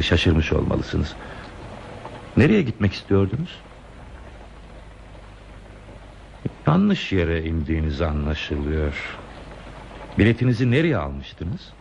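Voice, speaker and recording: A man's voice: a bass voice, gravelly, a little mellow, exaggerated voice. An actor playing a lonely, talkative man who is dreamily devoted to trains, musing poetically in a radio play. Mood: curious, probing. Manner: musing, poetic, slow pauses in monologue. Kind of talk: radio theatre acting. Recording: dull, lo-fi radio play tape, hissy.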